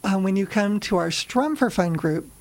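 An American woman with a low voice, talking casually and telling little anecdotes as an interview guest who organizes a club. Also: lively intonation, moderate pace. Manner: chatty, anecdotal.

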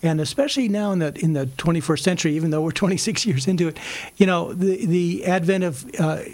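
A man's voice: high voice, fast talker, darkish tone. An American man talking casually as an interview guest, explaining things in an easygoing way. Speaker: ukulele club member, interview guest.

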